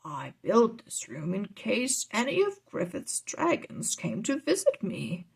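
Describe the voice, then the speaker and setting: A woman voicing a calm, kindly male wizard mentor who explains things in a measured way for a storybook. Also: medium pitch, vocal fry, slightly rough, full character voice. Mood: friendly, hospitable.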